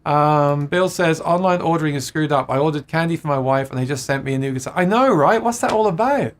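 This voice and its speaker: A man's voice: fairly high pitch, a little fast, a little hiss or hum. Chatty man hosting a livestream. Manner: chatty, laughs often, many restarts. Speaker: livestream host reading viewer comments. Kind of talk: casual chat about guitars.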